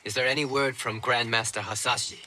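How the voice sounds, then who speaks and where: An actor playing a grim, earnest warrior ally in a video game, speaking formally. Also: male voice, medium pitch, steady tone, a little fast, sharp tone, slight background noise. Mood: grim, urgent. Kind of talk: video game cinematic voice acting.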